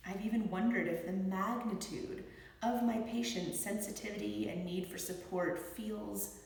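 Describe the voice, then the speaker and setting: A middle-aged woman, a physician, reading her own book aloud in an expressive, measured and deliberate way. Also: mid-range voice, vocal fry. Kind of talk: expressive audiobook-style read-aloud. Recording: very echoey room with long reverb.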